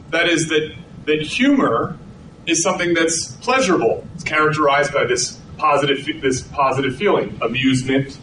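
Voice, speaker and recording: A middle-aged man giving a conversational lecture to an audience, with frequent restarts. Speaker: researcher giving a talk. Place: hall with an audience. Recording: echoey hall, distant mic, rumbling noise.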